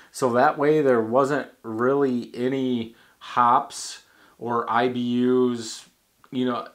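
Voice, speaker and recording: Slow-talking man reviewing a beer. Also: medium pitch, fairly bright tone. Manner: thinking aloud, halting with restarts. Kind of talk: casual tasting review. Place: home bar in front of a banner.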